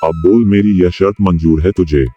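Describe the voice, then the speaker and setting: An actor voicing a greedy, scheming village landlord in a cartoon who is bossy and talks down to people. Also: male voice, low voice, moderate pace, mellow, muffled recording, soft background music. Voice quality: bossy, scornful, talks down to the farmer.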